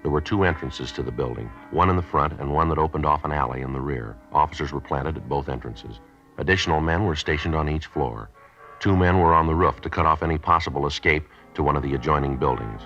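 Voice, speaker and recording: A deadpan 50s radio cop, super low voice, talks fast and clipped, old dull recording. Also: male voice. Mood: matter-of-fact. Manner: clipped, deadpan, matter-of-fact. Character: stoic police sergeant narrating his case, calm and businesslike. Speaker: police detective and narrator. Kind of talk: 1950s radio police drama acting. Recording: dull vintage radio recording, faint music.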